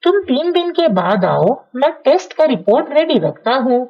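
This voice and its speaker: Woman voicing a reassuring, high-voiced owl doctor. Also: big pitch swings, moderate pace, full character voice. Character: calm male owl doctor, matter-of-fact and reassuring. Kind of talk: Hindi cartoon moral story dialogue. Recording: dull, lo-fi sound.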